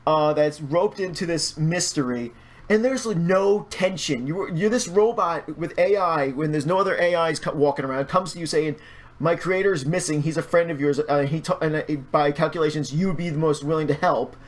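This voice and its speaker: A man's voice: on the high side, a little fast. A man speaking as a guest in a casual podcast chat, rambling, opinionated and freely swearing. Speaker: podcast guest, video creator.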